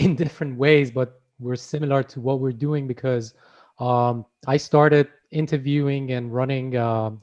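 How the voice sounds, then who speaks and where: A man hosting a conversational interview show, earnest and unhurried as he lays out his questions. The recording is somewhat dull and muffled, with the highs rolled off. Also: darkish tone. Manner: earnest, with fillers and self-corrections. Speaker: host. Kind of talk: casual interview chat.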